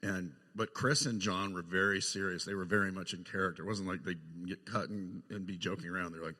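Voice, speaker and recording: A man with a slightly low voice tells casual behind-the-scenes stories as a panel guest. The sound carries the long echo of a big hall. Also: fairly even intonation, fairly quick, pushed and bright. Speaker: guest puppeteer sharing film memories. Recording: echoey hall with long reverb.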